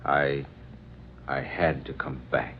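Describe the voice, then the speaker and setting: Deep-voiced, broken radio-drama inventor. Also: male voice, level tone, exaggerated voice. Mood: weary, wounded. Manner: halting, heavy, melodramatic delivery. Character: ruined, broken young male inventor, lovelorn and bitter. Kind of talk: old-time radio drama acting. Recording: dull, noisy old radio recording.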